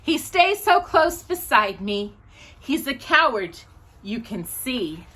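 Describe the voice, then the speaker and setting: A woman hosting a children's storytime, reading aloud in an animated, sing-song manner. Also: fairly high pitch, slow.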